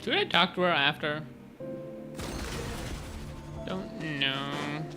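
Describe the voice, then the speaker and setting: A man's voice: a little high, drawn-out words. A man doing casual gameplay commentary with short, offhand reactions. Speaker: gaming streamer. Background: game music.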